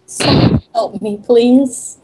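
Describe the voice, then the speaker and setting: A woman's voice: a little high, steady tone, slow, a little mellow, slightly rough, poor audio. A woman taking part in a casual amateur script read-along. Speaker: amateur reader in a group script reading.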